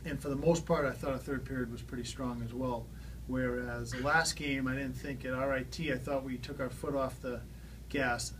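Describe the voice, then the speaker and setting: A man's voice: mid-range voice, fairly even intonation, moderate pace, a bit bright, low-quality recording. A middle-aged man, a hockey coach, giving post-game remarks at a table in a small press room. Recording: faint background noise.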